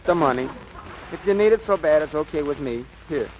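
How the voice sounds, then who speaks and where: An actor playing an earnest, excitable teenage boy in a 1940s radio drama, pleading and blustering in slang. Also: a little high, a little fast, lightly acted. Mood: sheepish. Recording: noisy old radio broadcast recording.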